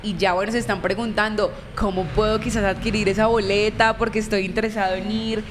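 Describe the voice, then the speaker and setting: A woman hosting a radio interview in a lively, chatty way. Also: medium pitch, a little fast, background noise. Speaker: radio host.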